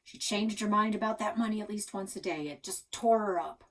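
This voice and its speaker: A woman voicing a stubborn, folksy old grandfather in a storybook, gruff and resigned. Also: mid-range voice, brisk, close to an everyday voice. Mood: reminiscing, troubled.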